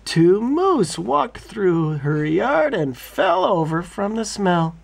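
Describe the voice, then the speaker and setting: A man reading a children's bedtime story aloud as a father, in an expressive, playful way. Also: high-pitched, takes it slowly, gravelly.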